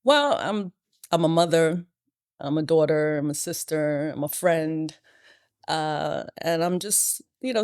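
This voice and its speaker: A woman's voice: low voice, a little mellow, dry recording, clean studio sound. A woman, an interview guest sharing her life story in a reflective, hesitant way. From Brooklyn, New York. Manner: reflective, hesitant, with many fillers. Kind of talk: personal testimony interview.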